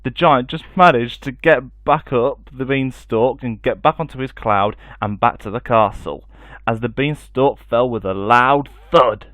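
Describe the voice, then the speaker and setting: A man's voice: mid-range voice, unhurried, fairly bright tone, no room sound. A man reading a children's story expressively as a storyteller.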